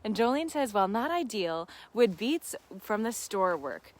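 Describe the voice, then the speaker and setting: A woman's voice: medium pitch, very animated, unhurried. Effusive, affirming woman in casual chat. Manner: effusive, affirming, giggly, conversational. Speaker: gardening host answering live viewer questions.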